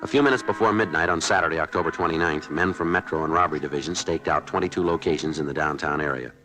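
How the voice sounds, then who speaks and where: An actor playing a terse, deadpan police sergeant who also narrates the case, in a 1950s radio drama. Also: male voice, a bass voice, fast, soft background music. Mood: narrating. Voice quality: flat, clipped, matter-of-fact delivery. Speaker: detective narrator.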